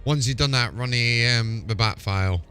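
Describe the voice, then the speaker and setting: A man's voice: mid-range voice, moderate pace, pushed and bright, faint music behind. A man chatting casually on a game livestream, giving informal step-by-step tech help. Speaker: game streamer.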